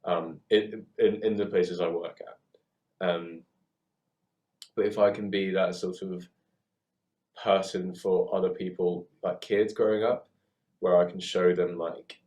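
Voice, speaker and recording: A man's voice: deep voice, steady tone, slow, long pauses, a little mellow. A man in his twenties with a southern English accent, chatting reflectively in a hesitant, thoughtful way and often rephrasing himself. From UK, born in Hong Kong. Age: 20s. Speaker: theatre worker and photoshoot co-producer. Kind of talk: reflective casual chat.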